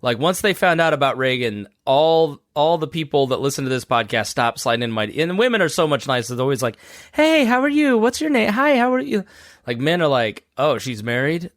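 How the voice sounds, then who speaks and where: A man's voice: a little high, sing-song, fairly quick, fairly bright tone, no echo, clean studio sound. A young man co-hosting a podcast, chatting casually and joking at his own expense. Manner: self-deprecating, joking. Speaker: podcast co-host. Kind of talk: casual comedic chat.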